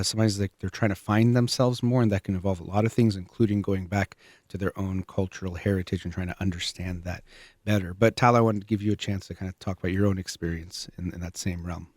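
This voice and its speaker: Man, lowish voice, host, thoughtful, explains things, dry sound. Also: darkish tone, no echo. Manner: thoughtful, explains terms for listeners. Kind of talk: conversational discussion.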